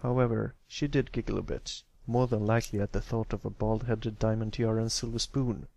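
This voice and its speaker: A man's voice: lowish voice, level tone, a little fast, creaky, darkish tone, no room sound, lo-fi sound. A man reading scripted story narration as an amateur audiobook reader.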